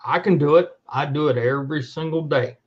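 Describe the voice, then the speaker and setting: A man's voice: fairly high pitch, a little fast. Blunt, profane man in dull lo-fi audio. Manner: blunt, profane, emphatic, repetitive. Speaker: online show host. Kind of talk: unscripted monologue rant to camera. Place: indoors at a computer.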